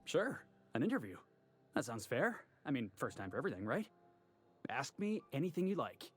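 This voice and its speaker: An actor playing an eager, cocky young dock worker in a video game, earnest and a bit stiltedly formal. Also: male voice, mid-range voice, big pitch swings, moderate pace, slightly rough, dry recording, faint music behind. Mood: hopeful, formal. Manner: earnest, stiltedly formal when reciting, boastful.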